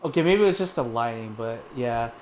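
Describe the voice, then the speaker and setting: A woman streamer giving casual gaming commentary, reacting with loud exclamations and plenty of swearing. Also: a bass voice, lively intonation, brisk, slight background noise, poor audio.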